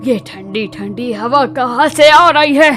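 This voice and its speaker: A woman voicing a comfort-loving, grumbling cartoon witch who exclaims and talks to herself in a drawn-out, dramatic way. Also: sits high, a bit bright, soft background music. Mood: delighted, gloating. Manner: exclaiming, self-talking, drawn-out dramatic delivery. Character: heat-weary female witch, grumbling, comfort-loving, childishly delighted. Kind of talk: Hindi cartoon moral-story acting.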